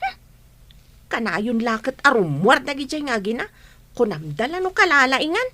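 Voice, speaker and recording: A woman's voice: sits high, sing-song, normal speed, pushed and bright, dry recording, exaggerated voice. A woman playing a protective, outspoken young older sister in a radio drama. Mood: indignant, kind.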